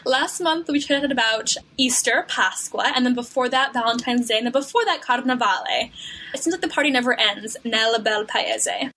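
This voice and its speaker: A woman co-hosts a podcast in a chatty, enthusiastic way. Her voice is bright and a little high. She drops Italian words into casual English talk. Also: moderate pace. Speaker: podcast co-host.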